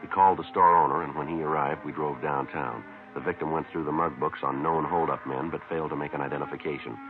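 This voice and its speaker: An actor playing a terse, businesslike police detective who narrates his case in a clipped, deadpan, matter-of-fact way, in 1950s radio drama style. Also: extremely low pitch, quick pace, over music. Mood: deadpan narrating. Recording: lo-fi noisy 1950s radio recording.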